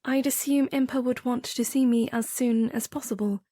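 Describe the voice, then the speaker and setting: A woman playing an earnest, gentle young princess in an audio drama, speaking in a soft, tentative, measured manner. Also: fairly high pitch, fairly even intonation, no room sound, lightly acted. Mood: gentle, questioning.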